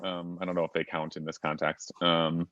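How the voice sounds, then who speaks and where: A deep-voiced man steering a relaxed fan discussion over a video call. He rambles thoughtfully, full of ums and restarts. The sound is dull and bone-dry. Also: brisk, no echo. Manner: chatty, many fillers, thinks aloud at length. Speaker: panel moderator. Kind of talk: casual fan panel discussion.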